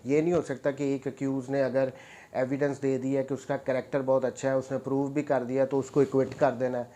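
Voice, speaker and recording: A man with a Pakistani accent teaches law in a mellow, relaxed voice of medium pitch. He reads formal provisions aloud and then explains them step by step. He often restates a point and drops English legal terms into his speech. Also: a little fast. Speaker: law lecturer, advocate.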